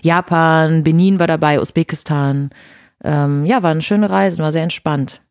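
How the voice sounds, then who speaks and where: A woman's voice: low-pitched, unhurried, no echo, clean studio sound. A woman from Brazil, a native Portuguese speaker in her thirties, chatting frankly in an interview as the guest. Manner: relaxed, frank, with fillers. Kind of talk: casual interview chat.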